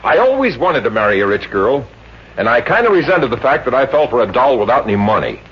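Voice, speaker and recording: An actor playing a slangy, scheming young boyfriend in a 1950s radio comedy. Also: male voice, medium pitch, very animated, fast, lightly acted. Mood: eager, greedy. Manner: slangy, breezy, shifty. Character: scheming, money-hungry young boarder, slangy and jealous boyfriend. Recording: muffled, noisy old radio recording.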